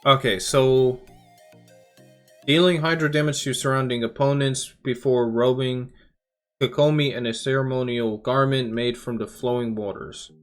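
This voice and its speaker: A man giving casual game reaction commentary as a YouTuber, talking informally and rambling as he thinks out loud. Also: medium pitch, fairly even intonation, moderate pace. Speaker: gaming YouTuber. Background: music.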